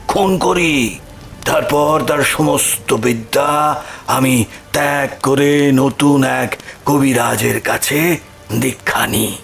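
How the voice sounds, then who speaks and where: A man playing a grave village healer and former occultist in a horror audio drama, deliberate and dramatic. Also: medium pitch, creaky, a bit bright. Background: music.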